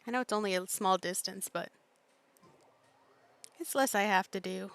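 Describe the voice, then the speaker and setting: A woman's voice: medium pitch, normal speed, gravelly, fairly bright tone, smooth, no room sound. A woman streaming a video game, muttering casual commentary to herself as she plays.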